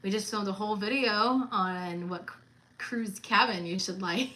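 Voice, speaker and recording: A woman's voice: medium pitch, moderate pace, sharp tone, rough recording. A woman in her thirties co-hosting a cruise livestream, chatting casually with her friend and teasing her with frequent laughter. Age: 30s.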